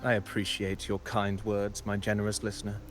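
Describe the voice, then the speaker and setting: A lowish male voice over soft music as an actor performs: a courteous, cryptic poet from a video game. He phrases things ornately and theatrically, with measured humility. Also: completely flat delivery, lightly acted. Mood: solemn, gentle. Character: male poetic bard narrator, humble, cryptic, courteous. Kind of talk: video game character dialogue.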